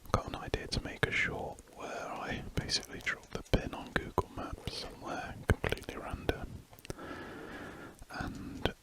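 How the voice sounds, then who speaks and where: An ASMR drawing host chatting in a soft, unvoiced whisper close to the mic, rambling and correcting themselves while drawing. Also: moderate pace.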